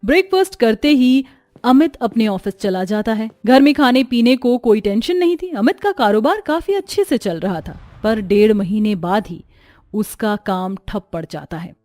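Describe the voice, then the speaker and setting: A woman's voice: fairly high pitch, lively intonation, moderate pace, darkish tone, no echo, studio quality. A woman giving scripted narration of a moral story as its storyteller.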